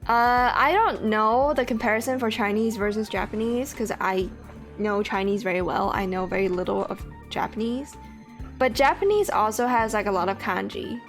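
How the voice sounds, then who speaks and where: A woman's voice: mid-range voice, moderate pace. A woman whose first language is Cantonese, streaming a game in casual chat, swearing freely and laughing often. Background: faint game music.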